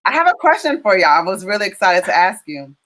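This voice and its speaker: A woman's voice: mid-range voice, very animated, fast talker, a bit bright. An American woman from Chicago co-hosting a casual film Q&A in a chatty, playful way. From Chicago, United States. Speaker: co-host interviewer.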